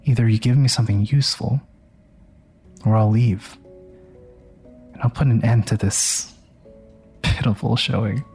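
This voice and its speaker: A man's voice: lowish voice, steady tone, a little mellow, slightly rough, soft background music, heavily acted. An actor in an audio drama playing a cold, condescending crime-family rival who mocks and draws out his contempt. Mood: mocking. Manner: mocking, drawn-out, contemptuous.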